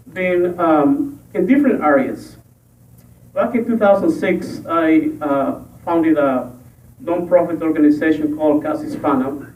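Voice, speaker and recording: A man from Ecuador, a school board candidate, giving a halting forum speech with many pauses and fillers. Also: a little high. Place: library meeting room. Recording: echoey room, tinny and distant.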